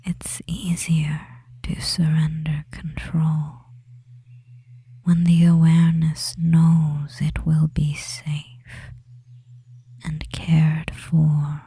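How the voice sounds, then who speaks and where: A performer voicing a devious male witch in a soothing, breathy ASMR hypnosis whisper, pausing between short phrases. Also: medium pitch, flat delivery, dark tone, dry recording, full character voice. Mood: coaxing, hypnotic. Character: devious male witch lulling a captive, soft and controlling.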